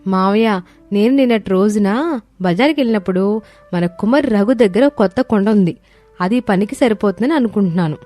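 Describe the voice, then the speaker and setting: An eager young daughter-in-law in a cartoon family tale, voiced by a woman, speaks politely and earnestly at a medium pitch. Light music runs underneath. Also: expressive, fairly quick, warm tone, close to an everyday voice.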